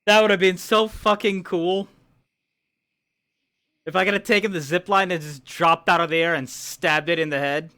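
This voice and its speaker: A man improvising in character in a fantasy roleplay game, speaking in a measured way with formal address. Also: high-pitched, fairly even intonation, sharp tone, clean tone, no room sound, clean studio sound. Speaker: roleplayer playing a guildmaster in a fantasy town.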